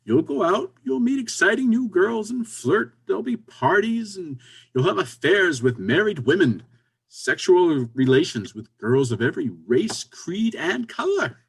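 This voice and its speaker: Very animated, husky, pushy businessman played by a man. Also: high-pitched, a little slow, full character voice, poor audio. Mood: coaxing, upbeat. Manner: pushy, exasperated, emphatic. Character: brisk, pragmatic businessman friend, impatient but encouraging. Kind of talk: stage comedy readers theater.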